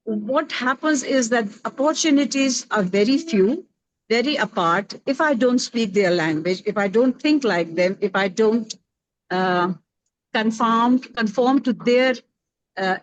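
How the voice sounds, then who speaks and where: A middle-aged woman with an Indian accent speaking on a panel discussion, thoughtful and pausing often to search for words with many fillers. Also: on the high side, no room sound, low-quality recording. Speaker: roundtable panelist.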